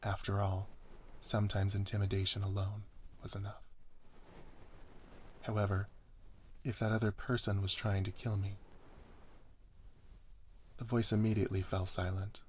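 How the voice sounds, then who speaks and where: A man's voice: on the low side, little pitch movement, a little slow, long pauses, a little mellow, slight background noise. A man reading a first-person story aloud as scripted ASMR narration. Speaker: story reader.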